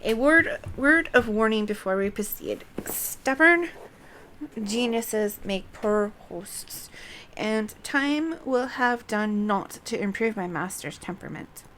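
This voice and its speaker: A woman's voice: on the high side, expressive, slow, a bit bright, slight background noise. A woman voicing a composed, wry sorceress guide from a fantasy game, reading the dialogue in a formal, dramatic manner. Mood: solemn warning.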